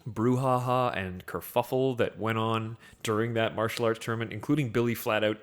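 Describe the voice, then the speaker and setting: A man co-hosting a casual movie podcast, joking and riffing in an animated way. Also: lowish voice, normal speed. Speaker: podcast co-host.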